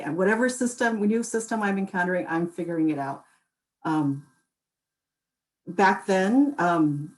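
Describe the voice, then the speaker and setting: Reflective lower-voiced woman panelist. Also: a little mellow, low-quality recording. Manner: reflective, conversational, occasional laughs. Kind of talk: casual panel talk.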